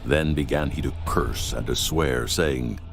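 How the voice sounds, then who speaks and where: A man's voice: extremely low pitch, fairly even intonation, unhurried, creaky. A man narrating scripture for an audiobook in a solemn, formal way. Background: background music.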